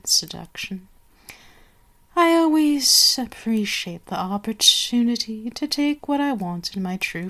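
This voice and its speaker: Slow, haughty vampire queen, acted by a woman. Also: fairly high pitch. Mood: seductive, domineering. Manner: haughty, domineering, menacing, drifting into breathy whispers. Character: imperious, seductive immortal vampire queen with fangs and wings. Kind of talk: ASMR roleplay acting.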